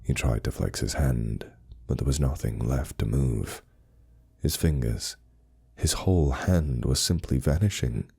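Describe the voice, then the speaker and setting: Male narrator for scripted horror: extremely low pitch, dark mellow tone, dramatic delivery. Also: a little slow, creaky, breathy edge.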